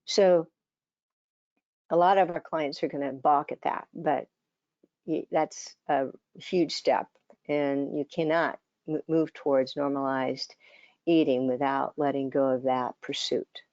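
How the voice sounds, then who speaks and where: An older woman, around seventy, giving a conversational conference presentation as a dietitian, her voice scratchy and hoarse, with many fillers and restarts. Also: low voice, steady tone, takes it slowly, a little mellow, no room sound, dull audio.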